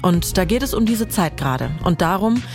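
A woman reads a podcast promo in a brisk, inviting tone. Her voice is quite low for a woman, and music runs underneath. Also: expressive, darkish tone. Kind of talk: scripted promo.

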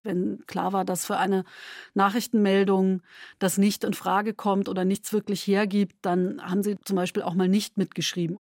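A woman speaks reflectively, as if interviewed about her work. Her voice sits at a middle pitch, recorded dry with no room sound. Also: little pitch movement, moderate pace, darkish tone, no echo. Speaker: radio drama maker.

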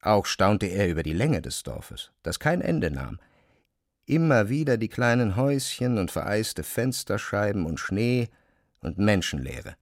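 Low-voiced male narrator, dry and close. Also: big pitch swings, unhurried, no echo. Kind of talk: literary narration in a radio drama.

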